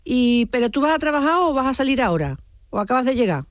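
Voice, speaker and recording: A woman's voice: high-pitched, big pitch swings, fast talker, no echo, studio quality. A woman hosting a radio call-in, chatty and prompting, often repeating words as she goes. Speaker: radio host. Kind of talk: casual call-in interview.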